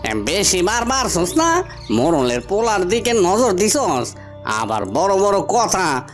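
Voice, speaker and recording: A man's voice: sits high, wildly swooping pitch, slow, faint music behind, exaggerated voice. A man voicing a threatening, sneering henchman who bullies villagers for their headman in a cartoon. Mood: menacing. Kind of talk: cartoon voice acting.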